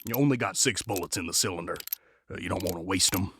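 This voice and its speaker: A man's voice: sits low, fast, a bit bright, a little hiss or hum. A man playing a cautious, protective gunslinger in a western audio drama, with a folksy, advising manner. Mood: steady, cautioning.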